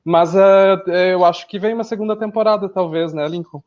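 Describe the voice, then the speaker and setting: A man's voice: high-pitched, steady tone, fairly quick, dull audio, over a noisy crowd. A man with a southern Brazilian accent talking casually as an interview guest, telling things in an informal, anecdotal way. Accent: southern Brazilian, Porto Alegre. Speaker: interview guest, podcast creator.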